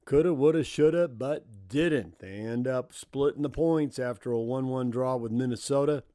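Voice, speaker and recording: A man's voice: mid-range voice, normal speed, dark tone, dry recording, clean studio sound. An American man hosting sports radio, giving commentary on games and putting questions to a coach.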